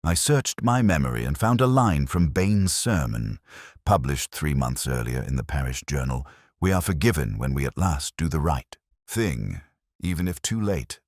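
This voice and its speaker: A man reading a scripted first-person detective story in a measured, brooding, literary manner. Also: rumbling low, very animated, darkish tone, no echo, studio quality.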